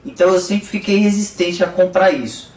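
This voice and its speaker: A Brazilian man with a slightly high voice explains things casually, like a vlogger talking to viewers. He is conversational and keeps adding little tag questions. The room is clearly echoey and he sounds a bit away from the microphone. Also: brisk, a little mellow, far from the mic. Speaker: motorcycle vlogger.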